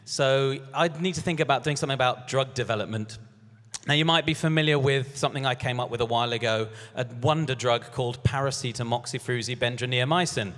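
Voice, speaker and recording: A man's voice: mid-range voice, fast talker, fairly bright tone, long reverb tail. A man giving a comic musical stage presentation, casual, dry and a little hesitant. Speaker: musical comedian and anaesthetist. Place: live on stage. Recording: piano music, live stage performance. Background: piano.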